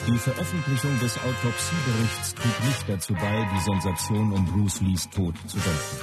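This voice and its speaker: Man playing radio documentary narrator, low-pitched, solemn, declamatory, heavy music bed. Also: normal speed, close to an everyday voice. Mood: matter-of-fact. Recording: loud music behind the voice.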